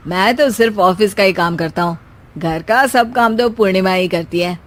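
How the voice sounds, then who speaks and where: A woman's voice: medium pitch, normal speed, natural voice. A woman voicing a bossy young husband in a cartoon who keeps making joking remarks to his wife. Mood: teasing. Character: bossy teasing young husband, office worker. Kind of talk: cartoon voice acting.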